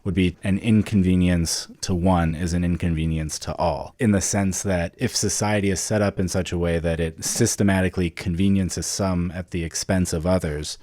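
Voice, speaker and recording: A man's voice: sits low, steady tone, moderate pace, few pauses, mellow. A man co-hosting a podcast in casual discussion, informal and thinking aloud as he goes. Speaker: podcast co-host.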